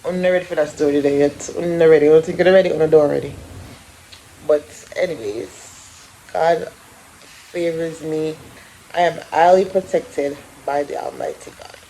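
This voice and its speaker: A woman's voice: low voice, normal speed, a little hiss or hum, low-quality recording. A woman, chatting casually to her viewers at home. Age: 30s. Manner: chatty and animated, laughs often, talks to viewers directly. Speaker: vlogger. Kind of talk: casual vlog chat to camera. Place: at home in an apartment.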